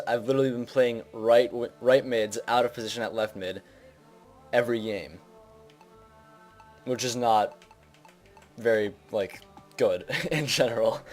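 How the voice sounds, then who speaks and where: A young man giving casual, unscripted video game commentary in an informal, hesitant and self-deprecating way. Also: mid-range voice. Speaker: gaming video host.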